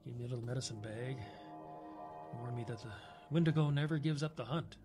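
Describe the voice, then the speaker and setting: A man's voice: medium pitch, fast, over loud music, close to an everyday voice. Man acting a haunted older Native storyteller, Ovid. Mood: haunted, grim. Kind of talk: audio drama storytelling.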